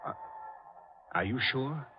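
An actor playing a suspicious, possessive husband in a 1940s radio drama, stiffly suave and sardonic. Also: male voice, mid-range voice, animated, slow, soft background music. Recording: muffled 1940s radio recording.

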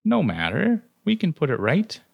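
A man putting on an elderly woman of fate, raised in pitch, brisk and knowing with a quiet menace under the grandmotherly tone. The delivery is close and dry. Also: huge pitch swings, vocal fry, slightly rough, dry recording, narrow, tinny audio, exaggerated voice. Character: old hunched woman fate goddess, cheerfully menacing.

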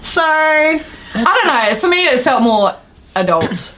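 A woman's voice: high-pitched, big pitch swings, takes it slowly, fairly bright tone, a little hiss or hum, low-quality recording. A woman co-hosting a podcast, chatting casually about video games in an informal, hesitant way with trailing thoughts. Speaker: podcast co-host.

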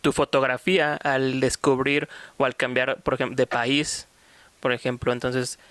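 Male co-host, smooth resonant voice, dry close sound, animated. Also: mid-range voice, measured pace, dry recording. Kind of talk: casual interview chat. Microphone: video call.

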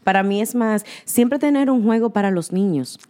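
A talkative woman, a teacher by trade, co-hosting a relaxed conversation. She speaks loosely and easily, and slips English words into her Spanish. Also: mid-range voice, normal speed. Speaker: co-host. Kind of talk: casual chat.

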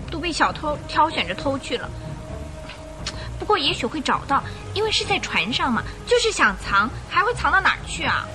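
A sweet, girlish young lady in radio drama. Her voice is high and very animated in pitch, with an innocent, curious air. Soft music sits faintly behind her. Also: rough recording. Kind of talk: Chinese radio drama acting.

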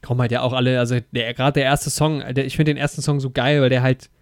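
A man talks about a film in a loose, eager ramble, piling up fillers and restarting his sentences. His voice has a gravelly edge, and the recording is dry and close. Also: mid-range voice, level tone, fast, no room sound. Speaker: podcast co-host. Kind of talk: casual film discussion.

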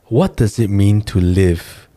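Deep, mellow-voiced podcast host. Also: male voice, slow. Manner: brief encouraging replies, easygoing questions. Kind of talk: casual interview.

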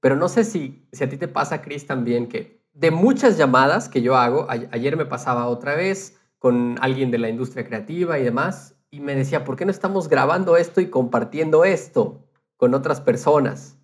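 A man's voice: medium pitch, animated, moderate pace. A man podcaster chatting casually and explaining things conversationally, checking in with his listener as he goes.

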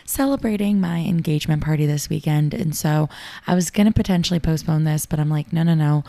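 A young woman with a raspy voice, hosting a show and reading affirmations slowly and soothingly. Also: low-pitched, warm tone, no echo. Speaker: affirmation and meditation host. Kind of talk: guided affirmations. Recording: clear music bed behind voice.

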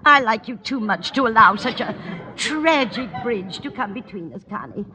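A woman's voice: fairly high pitch, lively intonation, normal speed, crowd noise, lightly acted. Woman playing a fretful, mournful older landlady. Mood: anxious, pleading. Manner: plaintive, dithering. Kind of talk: 1950s radio sitcom acting. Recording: old radio recording, slight noise.

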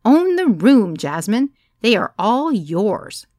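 A woman's voice: mid-range voice, big pitch swings, takes it slowly, darkish tone, no echo, studio quality, pitched above the performer's own voice. A woman voicing a brash teasing Greek matchmaker. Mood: commanding. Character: chatty older Greek woman, teasing, knitting.

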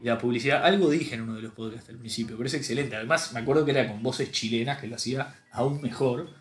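A man's voice: medium pitch, fast. Guy hosting a podcast, chatty and jokey, roomy echo, a bit of music under. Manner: chatty, jokey, plays voices in retellings. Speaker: podcast host. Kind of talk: casual podcast conversation.

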